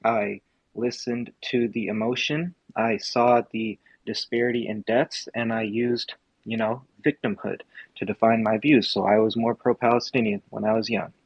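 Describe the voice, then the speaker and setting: Over a dull, low-fidelity mic with a dry, close sound, a young American man from Indiana speaks earnestly and emphatically. He often pauses on filler ums while he builds his points. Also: little pitch movement, husky, no room sound. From Indiana, USA. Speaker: speaker in a live audio space. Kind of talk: impassioned political commentary.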